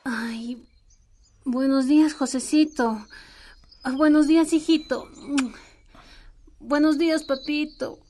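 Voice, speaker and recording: A woman's voice: sits high, fairly even intonation, slow pace, long pauses, noisy background. An actress with a Bolivian accent playing a hungover, languid but affectionate mother in a radio drama. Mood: groggy.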